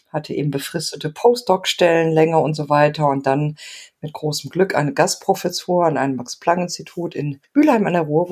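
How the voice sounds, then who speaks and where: A woman's voice: low-pitched, darkish tone, husky. A woman in her fifties from Argentina, a sociology professor answering interview questions thoughtfully with frequent fillers. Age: 50s. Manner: thoughtful, many fillers, laughs now and then. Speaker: sociology professor, podcast guest.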